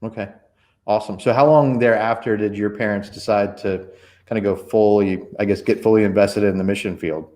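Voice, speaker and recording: A man's voice: on the low side, steady tone, fairly quick, a little mellow. A man in his forties hosting a casual church livestream interview, chatty and rambling with many fillers and restarts.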